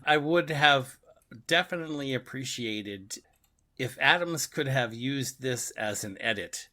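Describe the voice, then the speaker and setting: A man's voice: medium pitch, lively intonation, unhurried, pushed and bright. A man co-hosting a podcast, casually discussing a story and often repeating words as he thinks aloud. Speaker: podcast co-host. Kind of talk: casual book and radio drama discussion.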